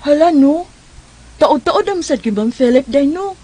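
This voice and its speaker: A woman's voice: high-pitched, animated, measured pace, dark tone, soft background music. A woman with a Filipino accent acting a chatty, friendly, playful character in a radio drama. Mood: curious, teasing.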